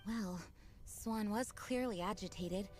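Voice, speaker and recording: A woman's voice: mid-range voice, takes it slowly, loud music behind the voice, close to an everyday voice. An actress playing an earnest, dutiful young woman who leads knights in an anime game. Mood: concerned, composed.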